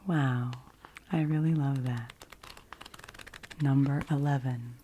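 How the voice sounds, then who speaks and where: A woman in her forties whispers softly and gently in an unhurried ASMR style, close to the microphone. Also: extremely low pitch, steady tone, a little hiss or hum. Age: 40s. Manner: soft, gentle, unhurried delivery. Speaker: ASMR host doing an oracle card reading.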